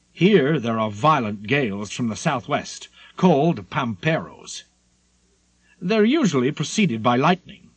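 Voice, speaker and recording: Male audiobook narrator: highly varied intonation, slightly high pitch, measured literary storytelling. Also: no echo. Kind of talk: scripted literary narration of a memoir.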